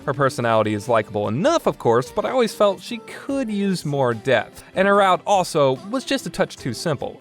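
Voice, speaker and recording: Man reviewing a game over music. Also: medium pitch. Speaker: podcast host and reviewer. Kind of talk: casual opinionated game review. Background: background music.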